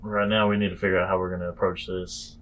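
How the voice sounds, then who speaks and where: A man's voice: sits low, level tone, fast talker, fairly bright tone. A man playing a tabletop roleplaying game, talking in a conversational in-character way.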